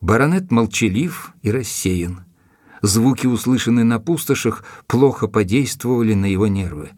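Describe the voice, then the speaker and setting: Low, husky gentleman investigator. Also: animated, no echo. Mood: intrigued, resolute. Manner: measured, deliberate storytelling with rhetorical questions. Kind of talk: dramatized audiobook narration. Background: music behind the voice.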